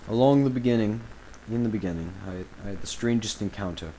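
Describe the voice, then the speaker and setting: A man playing an embattled villain recording a grave confessional audio log, speaking haltingly and solemnly with dramatic pauses. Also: fairly low pitch, warm tone, slight background noise, lightly acted, rough recording. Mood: grave, reflective.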